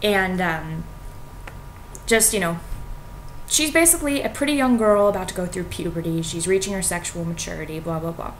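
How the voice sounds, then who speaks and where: Young American woman, noisy webcam sound. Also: moderate pace. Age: young adult. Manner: informal, wry, hedging with "like" and "you know". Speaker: vlogger reading and analysing a fairy tale. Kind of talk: casual spoken commentary to camera. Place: small home kitchen, indoors. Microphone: computer webcam at arm's length. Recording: noisy home webcam recording, low rumble.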